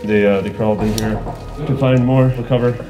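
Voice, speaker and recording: A man's voice: on the low side, steady tone, brisk, music in the background. A man with a Canadian accent, a volunteer soldier being interviewed in an underground bunker, matter-of-fact. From Ukraine, raised in Canada. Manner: matter-of-fact, restarts often. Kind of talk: field interview.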